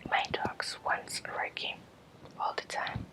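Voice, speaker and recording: A woman, a native Spanish speaker, giving an ASMR guided lesson as a Reiki teacher in a breathy whisper, in halting short phrases with long pauses. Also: low-quality recording.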